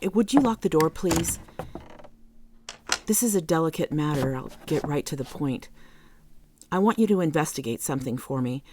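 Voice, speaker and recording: A woman's voice: low-pitched, animated, moderate pace, long pauses, noisy background. A woman playing a cordial, direct police chief in a contemporary radio drama. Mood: grave, businesslike.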